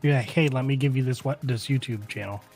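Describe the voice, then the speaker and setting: A man's voice: medium pitch, quick pace, darkish tone, no echo, soft background music. A man co-hosting a casual fan podcast, riffing with jokes he makes up on the spot. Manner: jokey, riffs with made-up bits. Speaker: podcast co-host. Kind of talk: casual live podcast chat.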